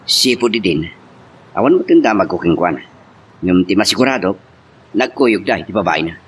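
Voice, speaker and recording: A man's voice: low voice, expressive, fairly quick. An actor playing a chatty, familiar man in an Ilocano drama. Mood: gossipy. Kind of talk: Ilocano radio-style drama acting. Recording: faint background noise.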